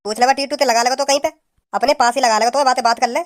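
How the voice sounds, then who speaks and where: A man voicing a blunt, exasperated village father in a comic cartoon, with a western Uttar Pradesh accent and a pitched-up voice. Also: steady tone, very fast. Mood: nagging. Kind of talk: comic cartoon dialogue in rustic dialect.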